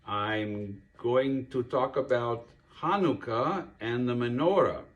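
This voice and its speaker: A man voicing a friendly pig puppet who explains things haltingly to a child in a puppet show. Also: medium pitch, dull audio, lightly acted. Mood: friendly, instructive. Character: friendly kosher pig puppet teaching a child about Hanukkah.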